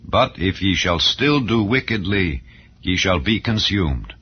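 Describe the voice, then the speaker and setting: A man's voice: low-pitched, expressive, a little slow, husky, full character voice. A man voicing a stern, solemn prophet who exhorts his people in a declamatory scripture reading. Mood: solemn exhortation. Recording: dull, lo-fi audio.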